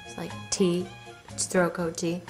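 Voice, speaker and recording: A teenage singer chatting casually on a live stream, giggly and talkative, with lots of "like". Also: female voice, on the low side, little pitch movement, unhurried, music in the background. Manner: giggly, talkative, says 'like' often. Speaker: singer hosting a live show. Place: indoor studio room with piano and guitar.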